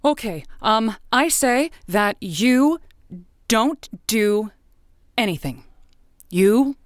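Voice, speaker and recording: A woman's voice: on the high side, expressive, extremely slow, no room sound, studio quality. A woman playing a radio advice show host who hedges, stammers and waffles over what to tell her callers. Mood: waffling. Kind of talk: improvised comedy call-in show.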